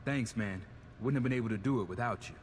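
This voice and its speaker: Determined American tech sidekick for a game, male actor, lowish voice, casual, resigned, faint rumble. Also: fast, lightly acted. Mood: resolved. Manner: casual, matter-of-fact. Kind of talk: video game cutscene acting.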